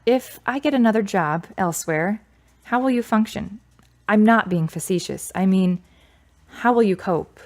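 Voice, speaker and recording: A woman's voice: mid-range voice, normal speed, darkish tone, natural voice. Radio drama role, played by a woman: frank, articulate woman, middle register, wry and sure of herself. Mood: reflective, measured. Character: frank, self-assured woman gently ending a romance.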